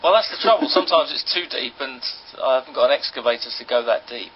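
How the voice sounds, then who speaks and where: A man's voice: medium pitch, fast talker. An English-accented man, a radio sidekick chatting casually on air in a hesitant, rambling, mild-mannered way. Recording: dull lo-fi radio recording, clear noise.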